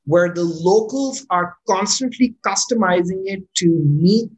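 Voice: medium pitch, measured pace, poor audio. A startup founder from Pakistan, answering interview questions casually and often checking in with "right?". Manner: frequent "right?" tag questions, restarts words when excited.

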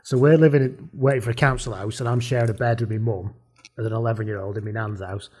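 A man's voice: medium pitch, fairly even intonation, fast talker, a little mellow, breathy edge. Lancashire bloke in his 40s, chatty host, drifts off on tangents. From Lancashire, England. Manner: chatty storyteller, tangents, self-deprecating asides. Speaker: podcast host. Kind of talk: casual chat.

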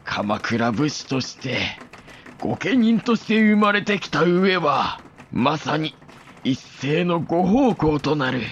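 A man acting an ailing, dutiful samurai father and clan head in a voice drama, grave and halting. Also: fairly high pitch, lively intonation. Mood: grave resolve. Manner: grave, halting, weighty delivery. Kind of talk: voice drama acting in period Japanese. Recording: muffled, with some background noise.

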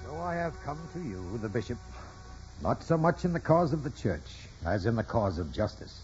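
An actor playing an earnest, forceful parish priest, fatherly and formal, with emphatic delivery in 1940s radio drama style. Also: medium pitch, very animated, normal speed, faint music behind, lightly acted. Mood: urgent, pleading. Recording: muffled, noisy vintage radio broadcast.

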